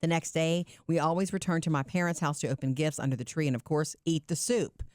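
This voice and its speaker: Warm, reflective woman podcast co-host. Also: deep voice, fairly even intonation, brisk, dry recording, clean studio sound. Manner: warm, reflective, laughs easily. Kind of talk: casual chat, reading listener letters aloud.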